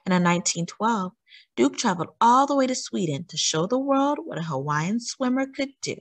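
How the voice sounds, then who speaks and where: A woman reading a children's storybook aloud as a storytime host, with expressive, emphatic delivery. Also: a little high, no room sound. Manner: animated, emphatic delivery with drawn-out words.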